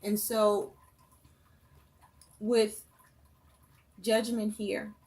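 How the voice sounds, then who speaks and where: A woman giving a tarot reading for her viewers, listing phrase after phrase in a slow, measured way. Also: mid-range voice, little pitch movement, darkish tone. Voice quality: voice rough and breaking, coughing. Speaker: tarot reader.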